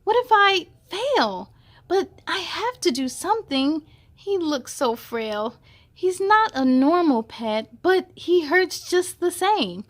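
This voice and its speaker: A woman's voice: very high-pitched, sing-song, slow pace, voice pushed up, exaggerated voice. A woman voicing a kindly female animal doctor, hesitant but caring, in a children's storybook. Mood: worried, resolving.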